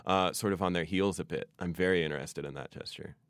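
A man whose first language is Farsi speaks as a poet guest in a thoughtful interview. His voice sits very low. He talks reflectively and often closes his points with "right?", recorded dry and close. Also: quick pace, smooth, no echo. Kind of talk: conversational interview.

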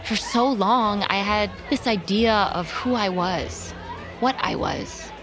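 A woman's voice: mid-range voice, slow, a crowd in the background. An actress playing an earnest, guarded young woman in an audio drama, with a hesitant, careful way of talking. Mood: earnest, hopeful. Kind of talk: audio drama acting, naturalistic dialogue. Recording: music behind the voice.

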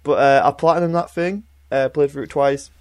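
A man's voice: on the high side, steady tone, a little fast. A man chatting casually as a co-host on a group podcast.

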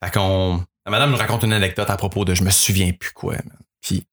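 Rambling Québécois man, low voice. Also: steady tone, brisk, a bit bright. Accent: Québécois French. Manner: rambling, many fillers and restarts. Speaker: radio writer and guest. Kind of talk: casual podcast chat.

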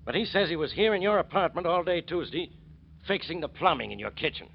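Blustering, higher-voiced radio police inspector. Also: male voice, expressive, brisk, creaky. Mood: bossy. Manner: bossy, blustering. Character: blustering, gruff, self-important police inspector. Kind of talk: 1940s radio acting. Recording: muffled, noisy old radio recording.

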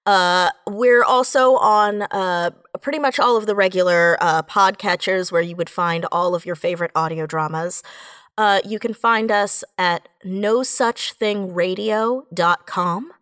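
Woman guest, average pitch, informal chatty plug. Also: a little slow, a bit bright, smooth, no echo, clean studio sound. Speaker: guest, audio drama producer. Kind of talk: casual chat, plugging shows.

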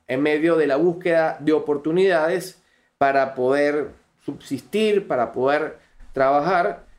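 A man's voice: a little high, a little mellow. A young man with a Venezuelan accent, a podcast guest telling his story earnestly and fluently, with frequent restarts. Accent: Venezuelan Spanish. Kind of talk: podcast interview.

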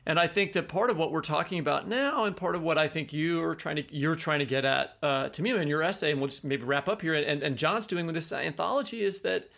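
A man's voice: a little high, quick pace, gravelly, few pauses, fairly bright tone. A man from the United States co-hosting a podcast, rambling casually as he thinks aloud. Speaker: podcast co-host. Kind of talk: casual interview discussion.

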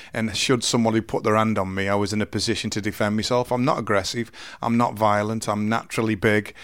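Deep-voiced man, halting and earnest. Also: fairly bright tone, no echo. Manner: slow, halting, earnest storytelling with many restarts. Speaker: interview guest. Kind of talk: candid personal interview.

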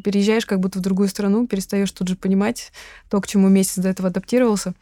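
A young woman answering interview questions in a measured, articulate way. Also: medium pitch, level tone, warm tone, no room sound, clean studio sound.

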